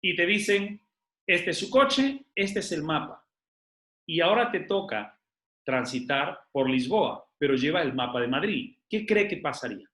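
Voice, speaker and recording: A man from Spain, giving a lively motivational leadership talk to a group, asking rhetorical questions and using many fillers. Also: fairly high pitch, a little slow. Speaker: network marketing team leader. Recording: echoey room.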